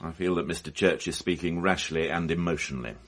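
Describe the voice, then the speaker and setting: An actor playing a stern, principled police inspector in a radio mystery, formal and disapproving in manner. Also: male voice, low-pitched, fairly even intonation, fairly bright tone. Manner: formal, measured wording, disapproving. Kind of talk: radio mystery drama acting.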